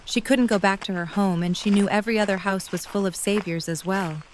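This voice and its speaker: A woman's voice: medium pitch, steady tone, fairly quick, slight background noise, rough recording. A woman reading scripted narration for a dramatic audio story.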